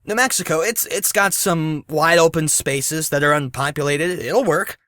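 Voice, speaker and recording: A man's voice: on the high side, moderate pace, pushed and bright, dry recording, clean studio sound. A man playing a sarcastic, casual teenage boy hero in a fan audio drama. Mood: tentative. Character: sarcastic teenage boy turned giant blue hedgehog hero.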